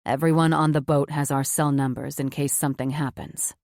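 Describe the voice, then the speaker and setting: A woman voicing a tender, remorseful man, a doctor, speaking low and earnestly. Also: steady tone, a little fast, darkish tone, no room sound, studio quality.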